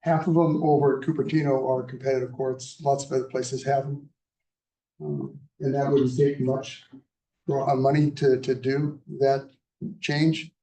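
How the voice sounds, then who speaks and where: Soft-spoken older man in an echoey chamber. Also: medium pitch, flat delivery. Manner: halting, many fillers. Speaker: public commenter. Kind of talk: public comment at a city meeting. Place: council chamber. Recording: echoey chamber, mic at a distance.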